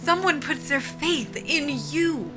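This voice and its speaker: A high-voiced female soldier in a cinematic audio drama, desperate and earnest. She talks in plain, informal words with a pleading edge, and music plays prominently behind her. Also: expressive, takes it slowly. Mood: impassioned pleading. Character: desperate, earnest young female military lieutenant at job interview.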